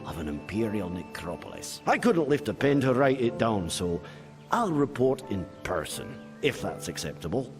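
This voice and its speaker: A man's voice: fairly low pitch, big pitch swings, moderate pace. An actor playing the cheerful, chatty ghost of a male scholar in a video game, with a pompous, precise manner. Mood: pleased, chatty. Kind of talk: video game cutscene acting. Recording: music under the voice.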